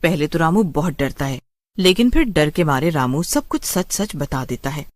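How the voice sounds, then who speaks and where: A woman's voice: low-pitched, expressive, moderate pace, no echo. A woman narrating a scripted horror story in a dramatic storytelling style.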